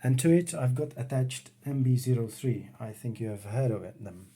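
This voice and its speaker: Brief: Czech man speaking English, mellow tone, plain easygoing hobbyist, home workroom, keyboard clicks behind. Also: mid-range voice, slightly rough. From Czech Republic. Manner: unhurried, plain, talks while working the computer. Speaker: retro computing hobbyist. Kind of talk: casual home demo walkthrough.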